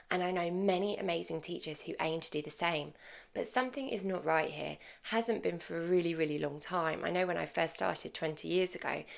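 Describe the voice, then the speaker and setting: A middle-aged woman speaks as a fitness coach, with a lowish voice that has a gravelly edge. She is emphatic and encouraging, leaning hard on repeated words for stress. She talks straight to her listener as she guides them through an exercise. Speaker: fitness coach and podcast host. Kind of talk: solo podcast talk with guided exercise.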